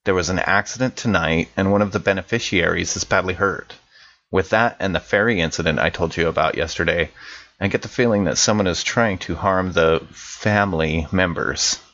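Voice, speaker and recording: A low, slightly muffled male voice, acted by a man as a guarded guy in his thirties. He talks like he is confiding in an old buddy, informal and measured, in an audio drama monologue. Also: fairly bright tone. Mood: uneasy, guarded. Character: wary, chummy man in his thirties dictating a personal message. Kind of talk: scripted in-character monologue, conversational.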